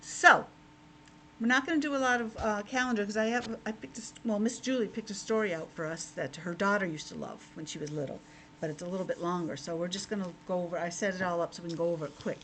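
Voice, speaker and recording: A woman's voice: fairly low pitch, fast, a bit bright. A woman teacher reading a picture book aloud to young children, breaking off for simple conversational asides. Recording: clearly noisy background.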